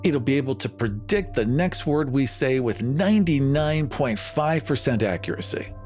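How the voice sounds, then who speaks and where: A man playing a smooth-talking, evasive colony leader in improvised tabletop roleplay, assured and persuasive. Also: mid-range voice, lively intonation, normal speed, darkish tone, faint music behind, close to an everyday voice. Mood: brash, assured.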